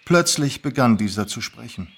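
A male narrator with a medium-pitched voice. He reads a story aloud in an expressive, scripted audiobook style. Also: normal speed, breathy edge.

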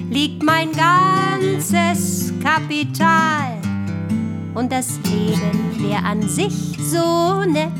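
A woman sings a cabaret song in a high voice at an unhurried, slow pace. An acoustic guitar plays loudly along with her. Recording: loud guitar music behind. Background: acoustic guitar accompaniment.

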